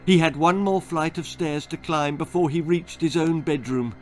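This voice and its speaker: A man's voice: fairly high pitch, normal speed, faint music behind. A man reading a spooky, comic children's story in a theatrical, suspenseful manner. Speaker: author reading own story. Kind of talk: dramatic children's horror-comedy storytelling.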